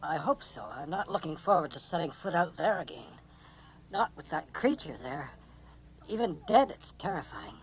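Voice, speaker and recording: An actress playing a weary, fearful member of a stranded alien band in a 1980s radio drama. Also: female voice, deep voice, lively intonation, moderate pace, slight background noise, poor audio. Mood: uneasy.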